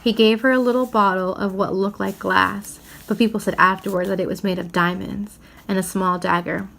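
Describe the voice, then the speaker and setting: Lady reading a kids book out loud, medium pitch, expressive. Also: moderate pace, creaky. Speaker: story reader. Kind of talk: expressive read-aloud of a children's book.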